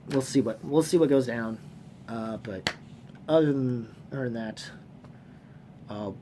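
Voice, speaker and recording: A man's voice: mid-range voice, a little mellow. Rambling man, noisy recording. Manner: rambling, halting, repeats and restarts phrases; casually profane. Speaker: host of a movie trivia fan channel. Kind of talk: casual unscripted vlog commentary. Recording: clearly noisy room sound.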